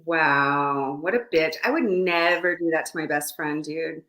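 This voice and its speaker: A woman's voice: low-pitched, unhurried, fairly bright tone, rough recording. A woman hosting a casual live chat, chatty and swearing casually. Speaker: host.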